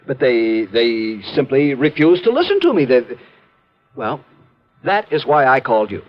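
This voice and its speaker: A man's voice: mid-range voice, very animated, unhurried. An actor playing a fussy, worried, earnest insurance man in a 1950s radio drama, with a formal, emphatic delivery. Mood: worried, insistent. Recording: muffled old radio recording.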